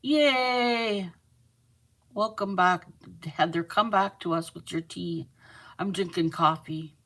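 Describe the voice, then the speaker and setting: A woman's voice: on the low side, slow, a bit bright. A middle-aged Canadian woman hosting a live crochet stream, chatting casually, teasing and laughing often while she reads viewer comments aloud. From Manitoba, Canada.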